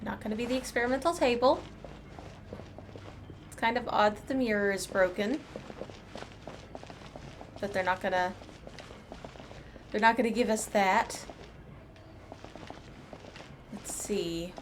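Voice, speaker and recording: A woman's voice: medium pitch, measured pace, pauses often, a little hiss or hum. A woman streaming a video game, casually thinking aloud and reading out what is in the game. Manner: thinks aloud in short remarks. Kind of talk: casual live playthrough commentary, reading game text aloud. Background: game music.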